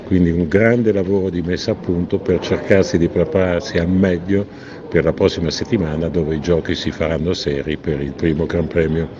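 A man giving a measured technical voice-over about racing car setup, pausing now and then to correct himself. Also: deep voice, fairly even intonation. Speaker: technical analyst. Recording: dull lo-fi voice-over with noticeable background noise.

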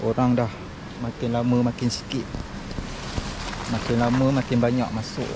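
A man's voice: medium pitch, level tone, moderate pace, noisy background. A man with a Malaysian accent narrating a family camping vlog in a casual way.

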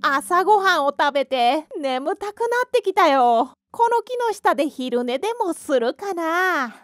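A woman's voice: high voice, expressive, measured pace, no room sound, soft background music, voice pushed up. A woman voicing a cocky, mocking male hare in a children's fairy tale, scoffing and boastful. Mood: smug, drowsy.

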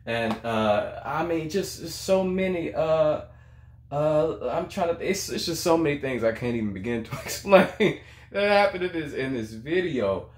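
A man's voice: fairly high pitch, expressive, measured pace. A young man giving casual, emphatic commentary on music, often repeating words and phrases for effect. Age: young adult. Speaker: reaction video host, singer. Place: small room at home, indoors. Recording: echoey room.

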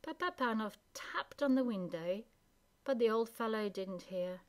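A woman storyteller reading a storybook aloud in an expressive narrating style. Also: a little high, measured pace.

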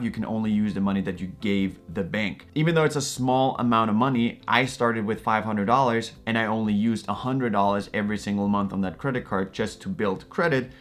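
A man in his thirties from Austria, talking to camera from a dim living room, explaining credit in an earnest, hands-on way. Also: lowish voice. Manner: earnest, explanatory, gestures a lot while talking. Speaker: finance YouTuber. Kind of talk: direct-to-camera advice talk.

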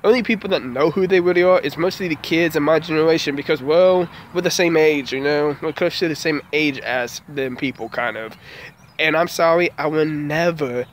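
A man's voice: fairly high pitch, a little hiss or hum. Frustrated young man ranting outdoors on a phone. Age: 20s. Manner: frustrated, dejected, repetitive. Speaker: small vlogger. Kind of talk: casual vlog rant. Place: outdoors beside a house. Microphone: phone held at arm's length.

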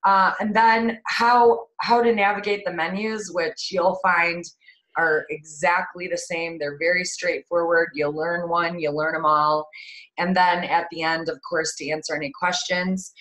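A woman with a fairly low voice hosts a product webinar over a video call. She talks in a chatty, easygoing way, with many ums and uhs along the way. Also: a bit bright, rough recording. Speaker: marketing manager presenting a webinar. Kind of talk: casual webinar presentation.

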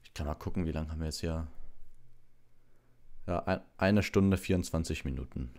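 A young adult man in a relaxed style, reading a bedtime story or chatting casually as a livestreamer. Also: extremely low pitch, normal speed. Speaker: audiobook reader and livestreamer. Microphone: ordinary plugged-in microphone.

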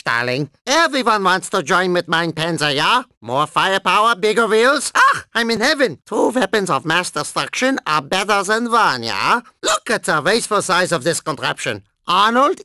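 A man voicing a deranged, gleefully violent and whiny German cyborg racer in a video game, with an exaggerated German accent and an excitable, theatrical delivery. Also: sits high, no room sound, full character voice. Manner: theatrical, excitable, tacking questioning tags onto remarks. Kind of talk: cartoon video game character clips.

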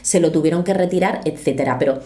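A woman's voice: sits low, fast, dark tone. A woman, talking earnestly to camera from a small home studio and reading quotes aloud. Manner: earnest, explanatory, gestures with her hands. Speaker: youtuber commentator.

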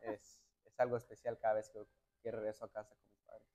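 A young man from the United States, a footballer answering interview questions in a hotel lounge, halting and restarting often and slipping English words into his replies. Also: fairly low pitch, completely flat delivery, mellow. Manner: halting, many restarts and "me entiendes" tags, mixes in English words. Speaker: national team footballer, interview guest. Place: hotel lounge sofa, indoors.

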